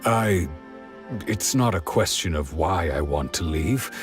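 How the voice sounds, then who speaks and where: A weary older villager with an empty, faraway heart. His low voice moves very slowly and hesitantly, and game music sits under him. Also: animated. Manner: slow, hollow, hesitant. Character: weary older male villager who lost his memories. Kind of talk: video game dub.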